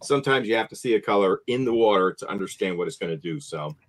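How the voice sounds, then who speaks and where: Low-voiced man explaining fishing baits. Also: lively intonation, fast talker. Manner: casual explanatory talk. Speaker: host. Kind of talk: product pitch in live stream. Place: home room on a video call.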